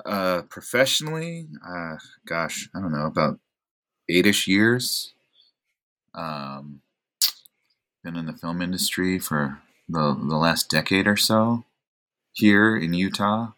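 Casting: low-voiced male actor, 30s, laid-back, self-deprecating guest. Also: slow pace, pauses often. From Oregon, USA. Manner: relaxed, rambling, self-deprecating, many fillers. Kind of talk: casual interview chat.

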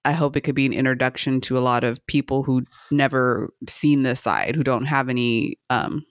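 A woman podcast co-host with a smooth, clean voice, recorded close and dry. She talks casually and feels her way through each thought in loose, hesitant phrases. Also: rumbling low, normal speed, no echo. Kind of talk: casual chat.

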